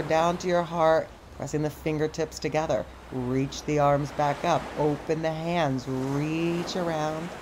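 Female stretch instructor, middle-aged, lowish voice, steady step-by-step cues, beach recording with surf. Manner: calm step-by-step cueing. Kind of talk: guided stretching class. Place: sandy beach outdoors by the ocean. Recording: outdoor surf noise behind the voice. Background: ocean waves.

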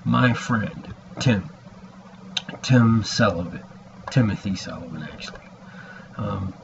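Guy in his 40s rambling to his webcam, deep gravelly voice, hesitant, muffled audio w noise behind. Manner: halting, hesitant, with long pauses. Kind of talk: rambling personal video message to camera. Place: small room indoors at home.

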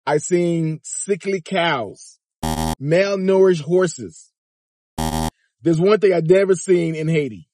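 A man's voice: fairly high pitch, takes it slowly, pauses often, background noise. Chatty man vlogging from his car. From Miami, Florida. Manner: animated, chatty, addresses viewers directly. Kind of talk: casual commentary vlog. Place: inside a parked car. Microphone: phone or dash camera at arm's length.

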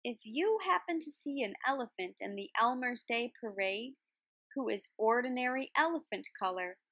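A woman reading a children's picture book aloud in an expressive, deliberate way, like a teacher. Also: fairly high pitch, no room sound. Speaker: read-aloud storyteller, art teacher.

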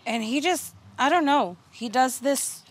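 A woman's voice: sits high, measured pace, husky. A woman in her thirties giving a casual tour of her home garden outdoors, talking in a chatty way with many fillers and restarts. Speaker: garden video host.

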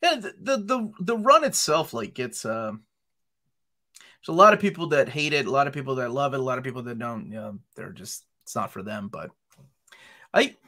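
A man's voice: medium pitch, sing-song, normal speed, sharp tone. A man who speaks English as a second language, hosting a casual panel chat over a video call, joking and reading product listings aloud. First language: not English. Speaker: host.

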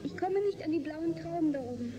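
A woman's voice: high voice, brisk, music in the background, lightly acted. A woman playing a pert, naive nineteen-year-old daughter in a radio drama. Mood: playful, pert. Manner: playful, pert. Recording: dull sound, clear background ambience.

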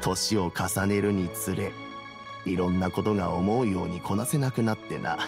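An actor playing a kindly, wise elderly statesman in a video game, speaking in a measured, old-fashioned way. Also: deep voice, steady tone, gravelly, over music. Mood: resigned, reassuring. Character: dignified kindly elderly statesman, grey-haired, calm and wise. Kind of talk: Japanese video game voice acting.

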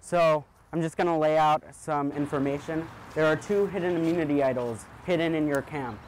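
A man's voice: on the high side, fairly even intonation, moderate pace, a little hiss or hum. Young man explaining game rules. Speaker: game organizer.

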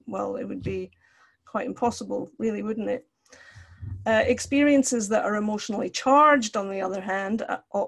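An older woman from Scotland, lecturing over a video call in a conversational, explanatory way. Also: medium pitch. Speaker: counselling lecturer. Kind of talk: online lecture with personal examples. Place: indoor room, video call.

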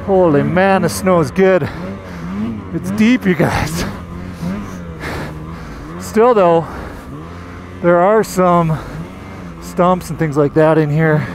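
A man from Canada giving casual, enthusiastic commentary while riding a snowmobile through deep snow, recorded on a helmet camera. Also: slow, vocal fry, pauses often. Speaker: magazine editor reviewing a snowmobile. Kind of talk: casual ride-along commentary. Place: outdoors in deep snowy forest on a snowmobile. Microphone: helmet-mounted action camera. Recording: engine and wind noise.

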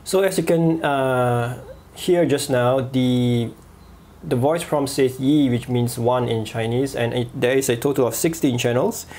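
A man reviewing a walkie-talkie at a desk, pausing often with fillers and restarts. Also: mid-range voice, darkish tone, a little hiss or hum. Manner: halting, many fillers and restarts. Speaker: gadget reviewer. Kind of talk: product review voice-over.

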